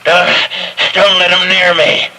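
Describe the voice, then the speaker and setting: An actor playing a badly wounded wagon-train survivor who stammers and gasps in a 1970s radio comedy spoof. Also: male voice, high-pitched, level tone, a little slow, sharp tone, exaggerated voice, rough recording. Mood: panicked. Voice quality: gravelly, stammering. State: gasping, dying. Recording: faint hiss of 1970s radio aircheck on tape.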